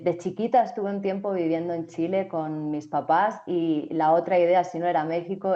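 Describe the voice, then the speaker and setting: A woman's voice: on the low side, lo-fi sound. A young woman from Spain with a Spanish accent, a journalist answering interview questions thoughtfully, with frequent restarts and fillers. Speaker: TV journalist and co-host.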